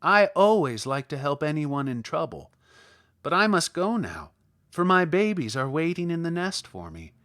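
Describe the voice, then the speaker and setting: A man voicing a kind, brisk, motherly stork in a storybook, with a matter-of-fact, helpful manner. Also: a little high, sing-song, a bit bright, dry recording, studio quality. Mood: kindly.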